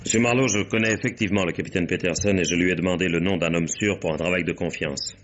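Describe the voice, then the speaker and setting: An actor playing a brusque, imperious businessman and wronged husband in a French radio mystery, with a husky voice. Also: low-pitched, fairly even intonation, fast, fairly bright tone, slight background noise. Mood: irritated, domineering. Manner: brusque, domineering, blunt. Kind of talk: French detective radio drama acting.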